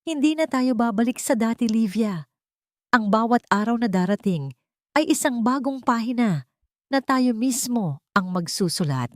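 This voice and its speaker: A woman's voice: a little high, expressive, normal speed, dry recording, pitched above the performer's own voice, lightly acted. A woman plays a devoted husband in an audio story, repentant and tender. The delivery is earnest and emotional, recorded close and dry. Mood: resolute, loving. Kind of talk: dramatic audio story dialogue.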